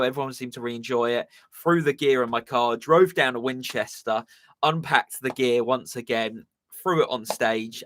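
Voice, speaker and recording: A man's voice: mid-range voice, a bit bright, no echo. A young man with a southern English accent chatting casually as a musician hosting his live stream, rambling with lots of ums and uhs, his voice a bit strained. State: slightly strained voice. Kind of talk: casual chat.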